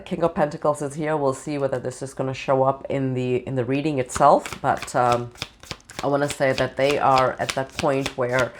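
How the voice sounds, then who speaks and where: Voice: mid-range voice, slight background noise. Tarot reader, voice off from the flu. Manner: meandering, with many "you know" and "um" fillers. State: voice changed, recovering from flu. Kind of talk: channeled tarot reading to camera.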